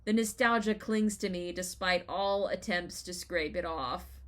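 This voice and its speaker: A woman in her thirties with an American accent, reading a scripted first-person essay in an expressive, wry storytelling manner. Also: lowish voice, unhurried. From Los Angeles, United States. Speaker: writer reading their own personal essay.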